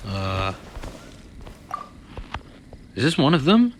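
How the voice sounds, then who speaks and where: A man's voice: medium pitch, huge pitch swings, extremely slow, slight background noise. A man reacting casually while playing through a video game as a streamer. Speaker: gameplay streamer.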